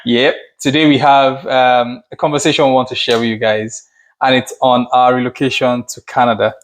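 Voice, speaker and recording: A man from Nigeria with a Nigerian accent, co-hosting a family channel in a relaxed chat and repeating words as he starts a thought. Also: medium pitch, normal speed, a little hiss or hum. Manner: chatty, laughs easily, repeats words when starting a thought. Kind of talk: casual chat.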